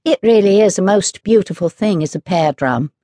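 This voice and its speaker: A woman voicing a cheerful, sly, self-satisfied village girl in a children's storybook, with a slow, pleased manner. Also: mid-range voice, expressive, mellow, dry recording, studio quality, natural voice. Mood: affectionate. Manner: slow, affectionate, pleased delivery.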